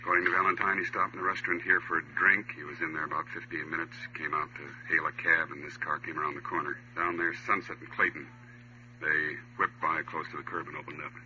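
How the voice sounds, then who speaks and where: An actor playing a respectful, dutiful patrol officer giving a factual report in a vintage radio drama. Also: male voice, very deep voice, flat delivery, quick pace, sharp tone, a little hiss or hum, exaggerated voice. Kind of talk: 1950s radio drama acting. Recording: muffled old radio recording.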